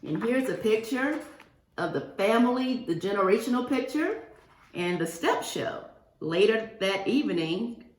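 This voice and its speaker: A woman reading a picture book aloud to young children, expressive and addressing them directly. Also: fairly high pitch, a little slow. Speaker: guest picture-book reader for a school class. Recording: roomy, echoing virtual-reading audio.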